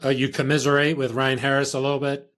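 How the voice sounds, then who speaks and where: A man's voice: medium pitch, flat delivery, fast, a bit bright. A man hosting a sports podcast, asking questions in an easygoing way and agreeing often. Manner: easygoing, laughs along, many short agreements. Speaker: podcast host. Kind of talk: casual interview.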